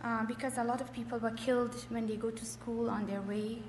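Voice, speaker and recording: A middle-aged woman from Afghanistan shares her testimony in a fairly high voice. She speaks in short, hesitant phrases with frequent pauses. The sound is very echoey, as in a hall, with slight noise. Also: flat delivery, darkish tone. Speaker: church member giving testimony.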